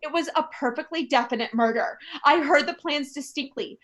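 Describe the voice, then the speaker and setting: An actress playing an anxious, demanding invalid wife in a radio play, fretful, indignant and complaining. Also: female voice, high-pitched, brisk, fairly bright tone. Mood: agitated, insistent. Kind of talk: stage radio play acting.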